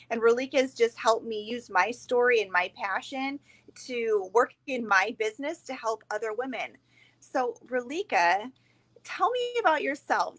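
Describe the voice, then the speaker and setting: A woman's voice: a little high, fairly bright tone. A woman hosting a casual chat from a hotel room, upbeat and enthusiastic. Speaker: life coach and host. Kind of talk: casual conversation.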